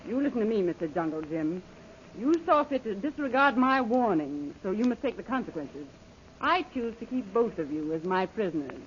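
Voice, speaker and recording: Imperious, mocking villainess female actor, animated and commanding, vintage jungle radio drama with faint hiss. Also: medium pitch, normal speed, lightly acted. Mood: scornful, commanding. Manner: imperious, sardonic. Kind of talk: old-time radio adventure serial acting. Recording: muffled, noisy old radio recording.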